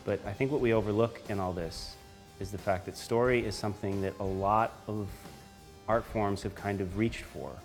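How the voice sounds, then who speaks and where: A man giving reflective interview commentary in measured, emphatic phrases with pauses. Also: on the low side, steady tone, soft background music.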